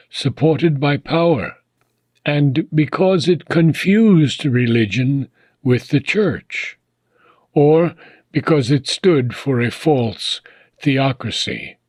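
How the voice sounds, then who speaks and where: A man reading a philosophical book aloud in a measured, solemn, deliberate manner, pausing often between phrases. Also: medium pitch, darkish tone, slightly rough, dry recording. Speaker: audiobook reader.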